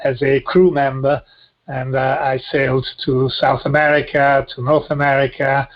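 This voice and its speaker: A man in his sixties from Rome, with an Italian accent, giving reflective interview answers in halting, deliberate phrases. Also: medium pitch, level tone, highs cut off. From Rome, Italy. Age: 60s. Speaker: guest.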